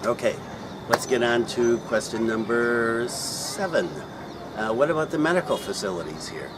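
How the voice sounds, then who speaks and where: A middle-aged man explaining things in a casual vlog, pausing often with fillers and restarting his sentences. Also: medium pitch. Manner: hesitant, many fillers and restarts. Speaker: vlogger. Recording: loud outdoor traffic noise.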